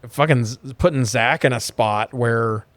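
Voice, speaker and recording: Male co-host, medium pitch, informal and wordy, thinks out loud in casual discussion, very little room sound. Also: unhurried, no echo. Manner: rambling, informal, swears casually. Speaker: podcast co-host reviewing movies.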